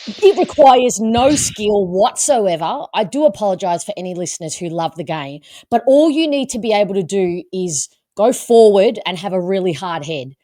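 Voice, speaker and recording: A woman talks sport in a casual podcast chat, emphatic and lively, often doubling her words for effect. Her voice sits in an ordinary middle register. The sound is dry, with no room echo. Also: medium pitch, moderate pace, hardly any pauses. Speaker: podcast host.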